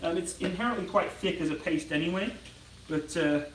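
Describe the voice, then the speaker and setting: A man's voice: high-pitched, fairly quick, roomy sound, far from the mic, slight background noise, rough recording. A young British man hosting a casual live-stream, chatting and reading viewer comments aloud while he cooks in his home kitchen. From United Kingdom. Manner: chatty, reads out viewer comments and answers them. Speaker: channel host.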